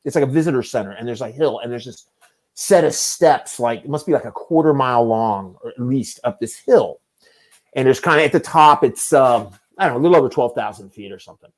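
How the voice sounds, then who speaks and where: A man's voice: mid-range voice, expressive, fairly quick. A man in his fifties telling long, self-mocking stories in a casual chat as host. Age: 50s. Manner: rambling, self-deprecating storyteller.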